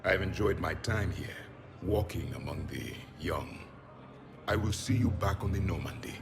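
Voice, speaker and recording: A man's voice: sits low, crowd noise, lightly acted. Man playing solemn alien warrior, echoing hall. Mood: dry, haughty. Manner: solemn, deliberate, commanding. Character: ancient alien warrior, male, grave and proud. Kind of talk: dramatic game voice acting.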